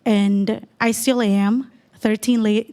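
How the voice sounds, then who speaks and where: A woman's voice: fairly high pitch, fairly even intonation, slow, warm tone. A woman in her twenties from El Salvador, a native Spanish speaker, tells an audience her life story in an earnest, reflective way with frequent ums. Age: 20s.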